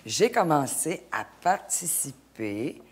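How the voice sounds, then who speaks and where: A woman's voice: deep voice, big pitch swings, slow, husky. A middle-aged woman from Canada, an actress chatting as an interview guest, often stumbling and repeating her words as she talks. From Acadia, Canada. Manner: hesitant, restarts and repeats words. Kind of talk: casual interview chat.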